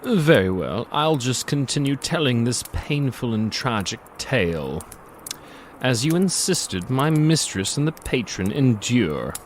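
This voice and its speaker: An actor playing a gloating predatory monster who narrates a dark fantasy audio drama in a theatrical, savoring, sardonic manner. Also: male voice, medium pitch, big pitch swings, measured pace, slight background noise. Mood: dark, mocking.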